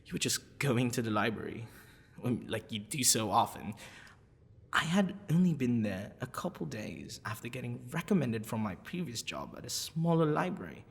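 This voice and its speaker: A man playing a smitten, possessive half-dragon librarian in a boyfriend ASMR roleplay, with a halting, stammering, husky delivery. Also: mid-range voice, very animated, heavily acted. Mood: reminiscing, annoyed. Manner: halting, stammering, breathy sighs and nervous laughs. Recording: long reverberant echo.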